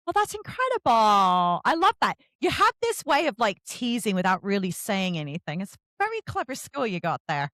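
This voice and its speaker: A woman's voice: medium pitch, huge pitch swings, moderate pace, few pauses, a bit bright, dry recording. A woman hosting a casual author interview, chatty and effusive in how she reacts and asks questions.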